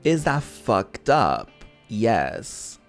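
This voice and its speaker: A man's voice: lowish voice, big pitch swings, very slow. A man who is a native Portuguese speaker, giving casual gameplay commentary in a sassy, opinionated way, swearing freely. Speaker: gaming content creator playing a visual novel on stream. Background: background music.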